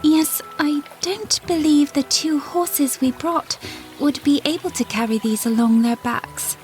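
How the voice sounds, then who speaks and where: Actress as young princess for audio drama: high register, polite, gentle, earnest, soft musical backing. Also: female voice, a little slow, soft background music. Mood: polite, grateful.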